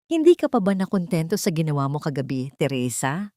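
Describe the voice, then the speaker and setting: A stern, accusing rich father from an audio drama, read by a woman in a low, mellow voice. He is cold and disappointed, and the sound is close and dry. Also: big pitch swings, a little fast, no echo. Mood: bitter reproach. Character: cold, angry, disappointed wealthy father and employer.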